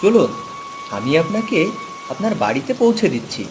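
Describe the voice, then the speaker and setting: Cartoon casting: kindly, hard-up village husband, played by a man, very lively intonation, music behind. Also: sits high, moderate pace. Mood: concerned. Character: poor, helpful, good-hearted village husband. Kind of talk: Bengali moral-story cartoon dub. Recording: loud music and rain behind.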